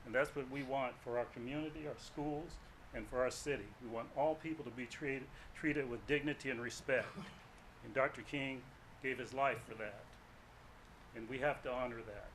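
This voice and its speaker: A man's voice: medium pitch, steady tone, moderate pace, fairly bright tone, slight background noise, rough recording. An American man giving an earnest, emphatic impromptu speech at a council meeting, repeating phrases for weight. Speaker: guest speaker at council meeting. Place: city council meeting room.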